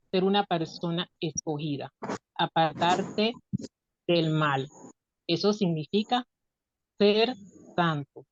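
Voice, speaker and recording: A woman preaching and reading scripture in a halting way, pausing between short phrases. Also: fairly low pitch. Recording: dull, muffled lo-fi audio.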